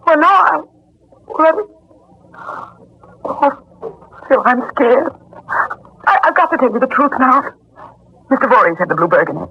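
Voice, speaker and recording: A woman's voice: high voice, lively intonation, a little slow, pauses often, husky, exaggerated voice. An actress playing an anxious, pleading young woman with a hesitant manner in a 1940s radio drama, on a dull vintage recording. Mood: nervous.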